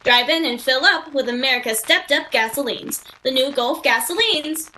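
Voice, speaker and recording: High, eager young announcer lad, played by a woman. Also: normal speed, over loud music, lightly acted, rough recording. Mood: peppy, selling. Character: eager, gee-whiz young male radio announcer. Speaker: sponsor announcer. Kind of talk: 1940s radio acting and sales pitch.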